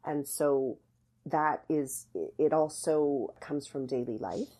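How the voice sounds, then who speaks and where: A woman's voice: deep voice, steady tone, takes it slowly, warm tone. A middle-aged woman explaining things conversationally as an interview guest, thoughtful and searching for her words. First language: English. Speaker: podcast guest, dance academy artistic director.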